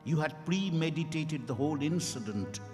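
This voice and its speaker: Stern interrogating doctor played by a man, emphatic and animated, stage reading in a reverberant hall with music behind. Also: a little high, moderate pace, natural voice. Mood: stern, disapproving. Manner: emphatic, relentless, cross-examining. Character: stern accusing male doctor interrogating Mister Y.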